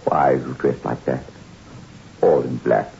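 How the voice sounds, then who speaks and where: An actor playing a bewildered, frightened castaway desperate to live, in an agitated 1960s radio horror style. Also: male voice, extremely low pitch, steady tone, measured pace, creaky, warm tone, full character voice. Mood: bewildered distress. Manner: dramatic, agitated, pleading. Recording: noisy, muffled 1960s radio recording.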